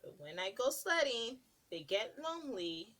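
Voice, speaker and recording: A preschool teacher reads a picture book aloud in a sing-song way, her voice rising and dipping a lot. She pitches slightly high and keeps stopping to ask the children what comes next. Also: big pitch swings, unhurried, a bit bright. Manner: sing-song, asks children questions between pages.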